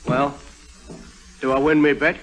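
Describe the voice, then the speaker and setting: A man acting a cheeky, gloating crony in an old radio drama, animated and mocking. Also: on the high side, unhurried. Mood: teasing. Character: cheeky, gloating British male crony of a con artist.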